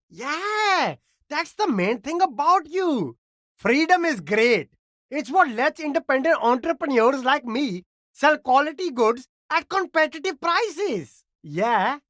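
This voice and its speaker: Casting: high-voiced game street hustler, slick, eager, swooping delivery, flattering slangy patter, dry close sound. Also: no echo. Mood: sly, ingratiating. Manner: salesman's patter, flattering, laid-back slang. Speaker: street vendor character in a video game. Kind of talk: video game character dialogue.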